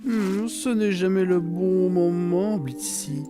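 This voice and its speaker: A man's voice: high voice, slow pace. A man voicing a pompous, lustful aristocratic demon in a cartoon dub, florid and suggestive in his phrasing. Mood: suave insistence. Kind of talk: animated series dub acting. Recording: loud music behind the voice.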